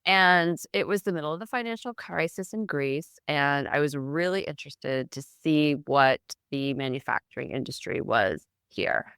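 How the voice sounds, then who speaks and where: A middle-aged American woman from near Boston, a guest telling her story in a chatty, animated way with occasional laughs. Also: sits low, normal speed, gravelly, few pauses, clear, resonant tone, no echo, studio quality. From United States, Boston area. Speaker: guest, founder. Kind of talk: casual interview.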